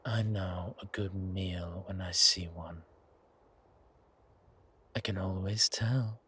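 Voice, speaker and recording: Seductive ageless vampire played by a man, low-pitched, unhurried, hushed and menacing, for horror ASMR roleplay. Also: steady tone, husky, heavily acted. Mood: menacing, soothing. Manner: slow, deliberate, hushed, soothing yet menacing. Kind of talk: ASMR horror roleplay, intimate monologue to the listener.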